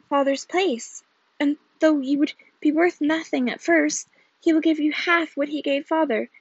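Woman playing an earnest young woman, high-pitched. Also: no echo, dull audio. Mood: careful. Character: patient, hard-working, spirited young woman.

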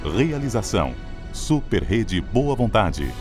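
A man's voice: lowish voice, very animated, takes it slowly, music in the background. A man with a Brazilian accent reading a dramatic scripted introduction as a radio soap announcer.

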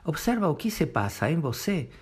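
A man leading a guided meditation, giving gentle step-by-step instructions in short phrases with long pauses between them. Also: fairly high pitch, very animated, slightly rough.

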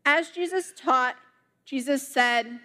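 A young woman, a summer intern, reading scripture and preaching a sermon in a church, with a slow, careful delivery. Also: high voice, bright, forceful tone. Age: young adult. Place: church sanctuary.

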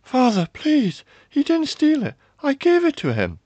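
Desperate young princess, played by a man, high pitched, pleading and emotional, for fantasy audiobook drama. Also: huge pitch swings, unhurried, a little mellow, no echo, muffled recording, heavily acted.

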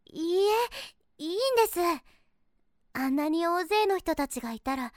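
A sweet young girl in an anime-style audio drama. Her voice is extremely high and childlike, with a dry, close sound. She is polite, earnest and innocent, a little hesitant and easily flustered. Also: expressive, normal speed, no room sound. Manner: earnest, deferential, hesitant speech. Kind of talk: Japanese voice drama acting.

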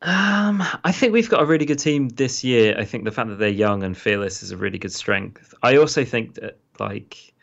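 A man's voice: mid-range voice, lively intonation, moderate pace, vocal fry, few pauses, a bit bright, lo-fi sound. An English man co-hosting a podcast, chatting casually, with easy laughs and lots of fillers. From England. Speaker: podcast co-host. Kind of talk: casual chat.

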